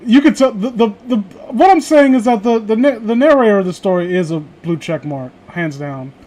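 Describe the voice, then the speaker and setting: A man's voice: high voice, expressive, normal speed, darkish tone. A man hosting a casual comedic read-along, rambling and sarcastic with crude asides. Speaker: host reading horror stories aloud.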